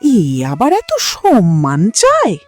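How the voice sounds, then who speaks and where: A woman voicing a catty, contemptuous village neighbour in a cartoon, gossiping in mocking, drawn-out taunts. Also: mid-range voice, wildly swooping pitch, dry recording, faint music behind. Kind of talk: cartoon voice acting.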